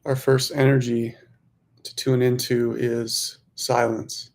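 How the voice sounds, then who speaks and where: A man leading a guided meditation, giving gentle instructions in unhurried phrases with pauses. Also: mid-range voice, little pitch movement.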